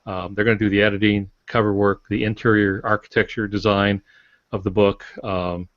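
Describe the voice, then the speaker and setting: A man's voice: on the low side, flat delivery, normal speed. A man speaking as an author on a podcast, explaining things frankly in a casual, colloquial way. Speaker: author, podcast guest or panelist. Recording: dull, roomy podcast audio.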